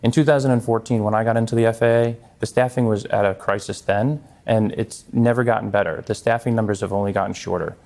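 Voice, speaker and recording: Low-pitched man, earnest interview guest. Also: steady tone, fast, gravelly, few pauses, darkish tone. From Long Island, New York. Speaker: interview guest, congressional candidate and former air traffic controller. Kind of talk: live television news interview.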